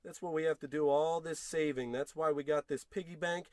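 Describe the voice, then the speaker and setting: A man's voice: on the high side, fairly even intonation, brisk, no echo, clean studio sound, lightly acted. A man playing a frustrated, self-pitying unemployed husband in an old-time radio comedy, wordy, sarcastic and theatrical. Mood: bitter, dejected. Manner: wordy, indignant, sarcastic rambling.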